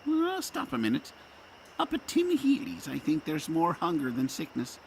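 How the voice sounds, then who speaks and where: A man's voice: fairly high pitch, huge pitch swings, moderate pace, vocal fry, slight background noise, full character voice, poor audio. A man playing a pious, easily tempted parish priest, earnest and self-reproaching, in an audio drama. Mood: torn, earnest.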